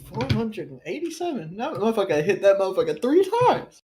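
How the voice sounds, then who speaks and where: Low-to-mid voiced woman gamer, faint noise. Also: sing-song, a little fast. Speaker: tabletop roleplay player. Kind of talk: casual game chat.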